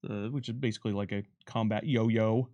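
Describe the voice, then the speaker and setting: A man's voice: on the low side, steady tone, brisk, mellow, highs cut off. A man giving casual, unscripted commentary as a game developer, often starting a sentence over as he explains things.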